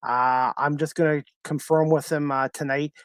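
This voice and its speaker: A man from Canada hosting a podcast, talking casually and haltingly to his livestream viewers in a rambling way. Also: on the high side, steady tone, smooth, no room sound. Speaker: podcast host.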